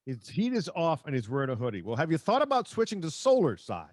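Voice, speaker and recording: A man's voice: a little high, huge pitch swings, fast, darkish tone, no room sound, studio quality. A middle-aged man hosting a sports podcast in a chatty, wry, conversational way. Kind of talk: casual conversational banter.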